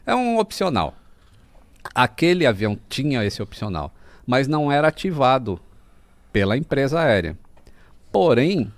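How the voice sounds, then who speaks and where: Animated Brazilian man explaining things casually. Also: medium pitch, moderate pace, long pauses, dry recording. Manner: animated storyteller, mimics sounds while explaining. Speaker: podcast guest. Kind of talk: casual explanatory podcast talk.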